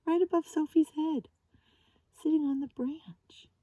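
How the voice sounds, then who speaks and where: A woman reading a picture book aloud to young children as a teacher, gently and with sing-song, exaggerated expression, often addressing them directly. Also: high-pitched, fairly even intonation, unhurried, no echo, low-quality recording.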